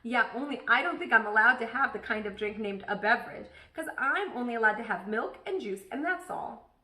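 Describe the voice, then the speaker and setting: A woman voicing an excitable, nosy, stubborn kindergarten girl from a children's storybook, blurting things out emphatically. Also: a little high, fairly quick, voice pushed up. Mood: eager, nosy. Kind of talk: children's storybook read-aloud. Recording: echoey room.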